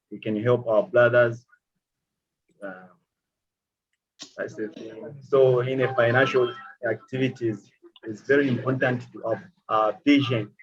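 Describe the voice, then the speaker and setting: A man from Zambia with a Zambian accent, speaking halting, grateful remarks to a speaker with many pauses. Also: lowish voice. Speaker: audience member asking questions. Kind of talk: casual spoken remarks. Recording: dull lo-fi call audio.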